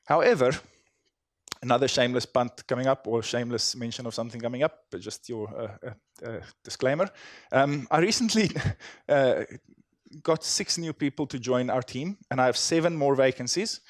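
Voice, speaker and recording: A man's voice: mid-range voice, normal speed, no echo, studio quality. A man from South Africa with a South African accent, giving a conference talk in a chatty, self-deprecating way with many fillers and restarts. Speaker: conference speaker. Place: conference hall stage.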